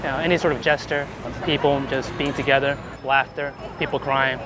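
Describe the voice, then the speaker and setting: A man's voice: medium pitch, flat delivery, a little fast. A man being interviewed as a guest, chatting casually and informally on a busy city street. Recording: noisy outdoor city street with traffic.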